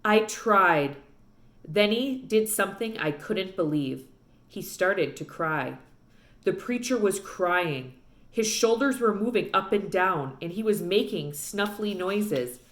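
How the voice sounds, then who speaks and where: A woman's voice: mid-range voice, animated, unhurried. A woman narrating a children's book aloud expressively, recorded with roomy echo.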